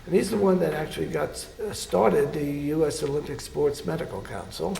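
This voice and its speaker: An older man, a surgeon, recalling his life in an oral history interview, speaking haltingly with many pauses and fillers. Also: on the high side, sing-song, gravelly, warm tone, echoey room, a little hiss or hum.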